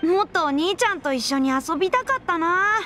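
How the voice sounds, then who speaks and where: Very high-voiced innocent little boy. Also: animated, sharp tone, slight background noise, heavily acted. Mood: wistful, curious. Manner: childlike, earnest, drawn-out delivery. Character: innocent, eager little boy who adores his big brother. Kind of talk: Japanese video game voice acting. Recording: music behind the voice.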